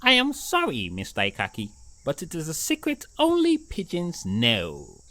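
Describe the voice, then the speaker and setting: A woman voicing a plump pigeon in a children's cartoon, reluctant but obliging, with a drawn-out, expressive delivery. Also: sits low, no room sound, full character voice. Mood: apologetic, firm.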